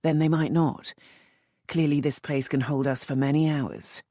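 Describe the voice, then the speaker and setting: A woman narrator voicing a teasing, wry young earl in an audiobook, speaking dry and measured. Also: sits low, steady tone, warm tone, no room sound. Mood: calm.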